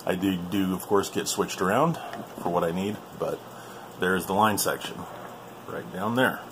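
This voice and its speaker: Deep-voiced man, casual shop tour. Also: fairly bright tone, slight background noise. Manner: informal, folksy, addressing viewers. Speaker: video host and radio hobbyist. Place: small indoor workshop.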